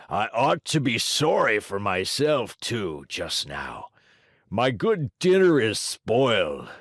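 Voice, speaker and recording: A man voicing a gruff, bearded male puppet-show director with a hoarse, brusque way of talking. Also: fairly low pitch, no room sound, studio quality, exaggerated voice.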